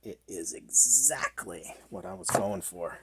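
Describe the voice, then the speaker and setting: A man's voice: sits low, animated, measured pace. A middle-aged man narrating casually as he works, thinking aloud in a tentative way in a home workshop. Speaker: DIY maker demonstrating a build. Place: small indoor home workshop. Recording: clearly noisy workshop recording with handling clicks.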